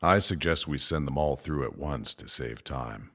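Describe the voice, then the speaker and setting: A man playing a sly, needling board member aboard a spaceship in an audio drama, in a gravelly, husky voice. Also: a bass voice, moderate pace, a bit bright, heavily acted.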